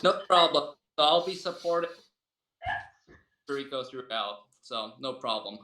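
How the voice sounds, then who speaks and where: A man's voice: medium pitch, expressive, unhurried, pushed and bright. A man from the United States speaking casually and hesitantly as a guest and translator on an online call. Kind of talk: casual self-introduction. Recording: echoey room, video call.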